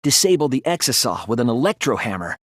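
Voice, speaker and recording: A man voicing an earnest, soft-spoken teenage boy detective in a video game, reasoning things out deliberately with a slightly breathy voice. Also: medium pitch, very animated, dry recording, clean studio sound. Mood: analytical, composed. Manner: deliberate, earnest, analytical explaining with dramatic emphasis. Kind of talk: English video game voice acting, deductive monologue.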